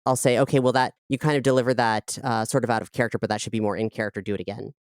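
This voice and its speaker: A woman with a low voice explaining creative work as an interview guest, in a conversational style. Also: steady tone, racing, a little mellow, no room sound, studio quality. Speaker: audio drama creator and director, interview guest.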